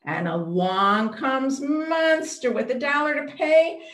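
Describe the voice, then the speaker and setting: A woman's voice: high voice, takes it slowly, fairly bright tone. Older woman leading storytime, roomy sound. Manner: simple, child-directed, asks counting questions. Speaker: children's librarian hosting storytime. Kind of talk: children's storytime read-aloud and counting rhymes. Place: small room at home, indoors. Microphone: computer mic on a video call. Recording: roomy, echoey home video-call audio.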